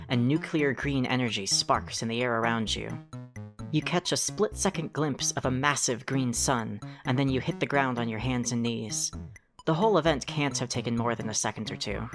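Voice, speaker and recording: A very low-pitched narrator, acted by a woman tells a visual novel story in the second person. The delivery is wry and conversational, wandering into asides, over clearly audible music. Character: second-person narrator, wry, rambling, easily sidetracked visitor. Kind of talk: scripted narration of a fan-dubbed visual novel.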